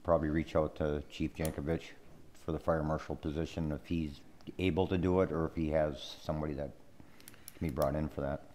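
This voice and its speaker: A man's voice: very deep voice, level tone, brisk, darkish tone, a little hiss or hum. A man on a city council who rambles and searches for words as he speaks. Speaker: council member.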